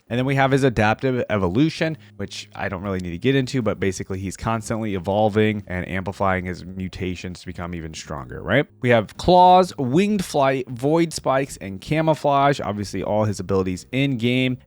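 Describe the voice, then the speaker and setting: A man's voice: on the low side, no echo. A young man in a casual, informal reaction-video style, commenting and reading text aloud on a close microphone. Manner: informal, slangy.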